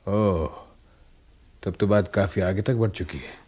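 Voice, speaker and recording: A man's voice: deep voice, takes it slowly, mellow, husky, exaggerated voice. A man acting an anxious, irritable, self-doubting husband in a radio drama, hesitant and brooding. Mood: doubtful, objecting.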